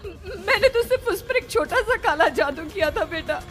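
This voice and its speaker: A woman's voice: very high voice, expressive, moderate pace, fairly bright tone, soft background music, a faint crowd. A woman voicing a remorseful, pleading middle-aged mother in a dramatized moral horror story. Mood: defensive pleading. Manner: tearful, pleading, self-justifying.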